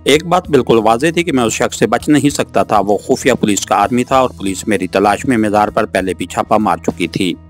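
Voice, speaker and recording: A man's voice: on the low side, a little fast, few pauses. A man reading a first-person suspense novel as a scripted audiobook narration. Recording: music under the voice. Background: background music.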